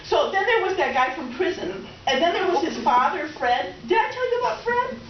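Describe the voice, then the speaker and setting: A woman's voice: very high-pitched, big pitch swings, fairly quick. A woman playing a dramatic, scatterbrained middle-aged houseguest who rambles and overshares in a comic stage reading. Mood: confiding. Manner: rambling, self-absorbed, theatrical. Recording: distant echoey room, muffled, faint noise.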